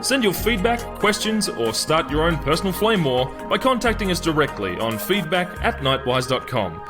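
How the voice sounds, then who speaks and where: A man's voice: a little high, very animated, normal speed. A man working as a podcast announcer, reading a scripted promotional sign-off. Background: music behind the voice.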